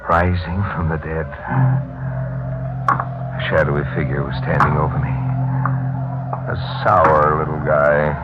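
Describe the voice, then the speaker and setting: Deep-voiced haunted drifter. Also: male voice, steady tone, unhurried, pauses often. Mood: grim narration. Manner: brooding, intense, dramatic delivery. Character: tormented amnesiac drifter, a man haunted by a name. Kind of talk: 1940s radio drama acting. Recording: dull 1940s radio recording, music behind.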